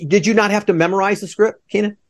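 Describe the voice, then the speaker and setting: Fast-talking, higher-pitched male host. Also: steady tone. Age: middle-aged. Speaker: podcast host interviewing theater guests. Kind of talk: casual chat.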